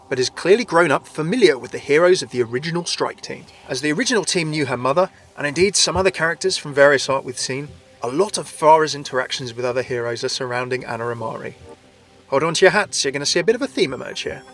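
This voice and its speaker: A man's voice: fairly high pitch, animated, fast talker, fairly bright tone, faint music behind. A man hosting a scripted game lore video, chatty and often addressing his viewers directly.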